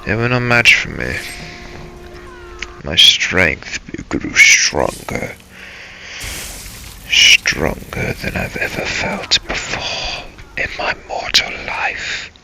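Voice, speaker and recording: A man playing a brooding vampire adventurer, narrating his dark journey in a dramatic, halting, solemn way for a game role-play. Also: deep voice, very animated, vocal fry, slight background noise, full character voice, poor audio. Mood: grim, proud. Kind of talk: improvised in-character role-play narration over gameplay.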